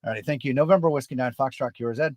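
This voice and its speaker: An American man hosting a casual, unscripted livestream as an amateur radio operator, rambling in a relaxed way. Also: medium pitch, racing, very noisy. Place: outdoors at a state forest park.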